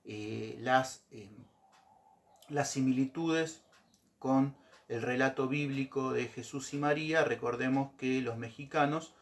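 A man's voice: medium pitch, takes it slowly. A middle-aged man telling a folk legend to camera from a living room sofa. He explains step by step and keeps checking in with his listeners. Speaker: storytelling show host. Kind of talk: casual storytelling to camera.

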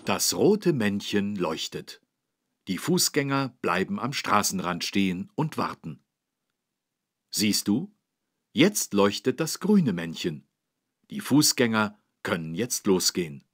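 A man playing a patient, friendly instructor, narrating for children in an encouraging, didactic way with a husky voice. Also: fairly low pitch, very animated, slow, a bit bright, no echo, clean studio sound, exaggerated voice. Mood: instructive. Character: friendly, patient adult man teaching children road safety. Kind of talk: scripted children's educational narration.

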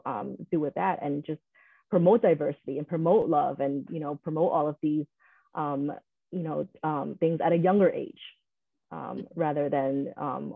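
A woman's voice: low voice, vocal fry, breathy edge, no echo. Young woman moderator on a tinny line. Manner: searching, filler-heavy. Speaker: panel moderator. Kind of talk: casual panel discussion. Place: small room, video call. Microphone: webcam on video call. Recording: dry tinny phone-like video call audio.

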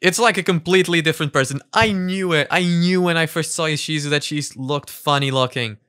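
A man in his twenties giving casual, sweary reaction commentary in a halting way, close on a studio-style mic at home. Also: on the high side, sharp tone, no echo. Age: 20s. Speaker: reaction video host. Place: small home room, indoors. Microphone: microphone on boom arm, headphones.

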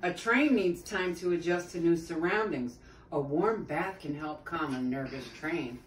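A woman's voice: on the low side, unhurried. A middle-aged woman reading a children's story aloud, expressively, with chatty asides to her young listeners. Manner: expressive, addressing children and prompting them. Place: small tiled sitting room at home, indoors. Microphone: phone camera a few metres away. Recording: roomy, distant mic with background noise.

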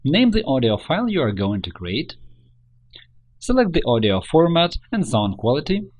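Man narrating tutorial, very animated pitch. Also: normal speed. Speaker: tutorial presenter.

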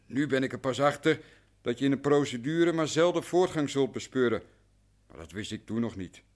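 A man's voice: medium pitch, lively intonation, moderate pace, close to an everyday voice. A man playing an anxious, deferential client in a radio drama, recounting things at length and meticulously. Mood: earnest, resigned. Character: long-suffering male client, anxious, deferential, talkative. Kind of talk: radio drama acting in Dutch.

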